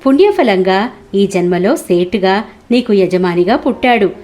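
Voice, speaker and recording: A woman's voice: medium pitch, expressive, measured pace, darkish tone, lightly acted. A woman voicing a compassionate, all-knowing holy man who solemnly explains things in a cartoon moral story. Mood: solemn, explaining.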